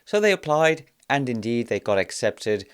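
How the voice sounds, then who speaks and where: A man in his thirties from South Africa and Poland, hosting a podcast and telling his life story in a measured, reflective way, pausing often on "uh". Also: medium pitch, sing-song, clean tone. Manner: measured, reflective, with frequent "uh" pauses. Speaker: podcast host. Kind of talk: personal storytelling monologue.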